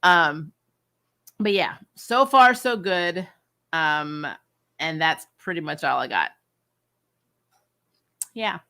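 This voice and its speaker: A woman hosting a casual livestream review, chatting with viewers in an animated, emphatic way and repeating phrases for effect. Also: on the low side, slow pace, pauses often. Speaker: host of a comic and TV review channel. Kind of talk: casual livestream chat, answering viewer questions.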